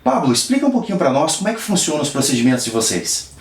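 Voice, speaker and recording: Lively Brazilian reporter, upbeat delivery. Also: male voice, on the high side, very fast, a little hiss or hum. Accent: Brazilian Portuguese. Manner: promotional, addresses viewers directly. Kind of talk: field report and interview. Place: outdoors and in a lab at a rural nursery.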